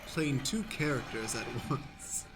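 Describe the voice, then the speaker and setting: A man's voice: medium pitch, expressive, slow pace. A man narrating improvised stage directions as the stage manager of a radio play.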